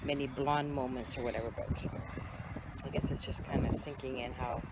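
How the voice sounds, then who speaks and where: Slightly hoarse woman, halting nervous chat, lots of fillers, outdoors by a pond, loud background noise. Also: very deep voice, fairly even intonation. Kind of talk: casual chat.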